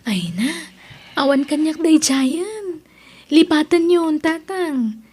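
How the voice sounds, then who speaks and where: A woman's voice: high voice, very animated, takes it slowly, darkish tone, exaggerated voice. A woman playing a hurt but forgiving grown daughter in an Ilocano radio drama, speaking earnestly and emotionally. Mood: tender, hurt.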